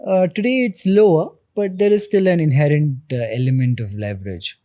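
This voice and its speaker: A man in his thirties with an Indian accent, a podcast guest explaining things in a measured way with frequent pauses. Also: a little high, dark tone, dry recording, studio quality. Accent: Indian English. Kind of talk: podcast interview.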